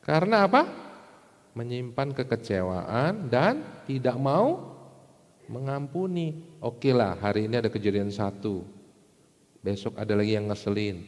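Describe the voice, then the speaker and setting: A man's voice: mid-range voice, expressive, a little slow, a little mellow. A man preaching a sermon to a congregation in a conversational teaching way, often putting rhetorical questions to his listeners. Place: large indoor church hall. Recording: echoey hall with long reverb.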